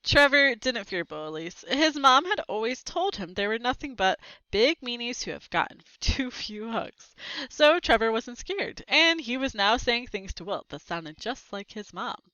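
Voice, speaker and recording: A woman reading a children's book aloud, expressive and acting out the characters' lines with short asides. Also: fairly high pitch, a little slow, clear, resonant tone, dry recording, lo-fi sound.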